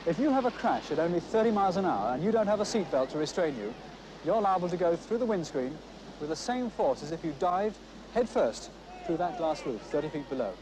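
Lively, higher-pitched young man played by an actor, noisy film. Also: close to an everyday voice. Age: young adult. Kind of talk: 1970s public information film monologue. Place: outdoors on a city rooftop. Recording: noisy old outdoor film soundtrack.

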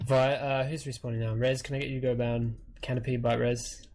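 A man's voice: medium pitch, flat delivery, fairly quick, a little mellow. A man calling plays to his team over game voice chat in short, directive callouts. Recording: noisy game voice chat, gunfire behind. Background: game gunfire and ambience.

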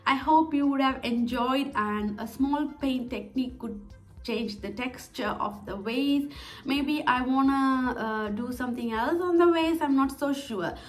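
A woman in her thirties talks through a home craft project in a friendly, chatty way, pausing on filler words. Her voice sits a little high. Clear background music runs under the whole recording. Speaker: DIY vlogger. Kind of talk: casual vlog narration. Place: home, indoors, with a toddler on her lap. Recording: music playing behind her voice.

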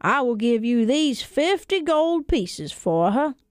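A woman as an excitable, naive folktale husband. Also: high voice, slow pace, clean tone, dry recording, studio quality, exaggerated voice. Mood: delighted, wistful. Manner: exclamatory, earnest. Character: excitable, naive, kindly married man in a folktale.

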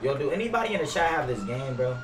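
A man's voice: on the high side, big pitch swings, fast talker, background noise. Young American man streaming, roomy sound. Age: young adult. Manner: slangy, profane. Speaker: game streamer. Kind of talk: casual livestream chat while playing a role-play game. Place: indoor room. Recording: roomy, distant mic, music behind. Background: music, car sounds from the game.

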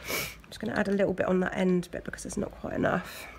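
A woman with an English accent, hoarse from a cold, chatting casually as she talks through a nail art tutorial. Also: fairly low pitch, little pitch movement, fast talker, a little hiss or hum. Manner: chatty, loose, lots of fillers. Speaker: nail technician. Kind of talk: step-by-step tutorial narration.

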